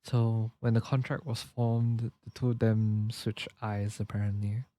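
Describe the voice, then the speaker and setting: A man's voice: on the low side, little pitch movement, unhurried, dark tone, no echo. A man livestreaming a game with casual, emotional, apologetic reactions, speaking close to the mic.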